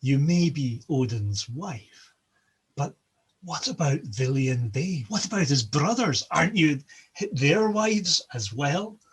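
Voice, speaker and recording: A sneering, mocking trickster god, put on by a male storyteller. His husky voice leaps up and down in pitch as he throws out slow, scornful insults. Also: expressive, full character voice.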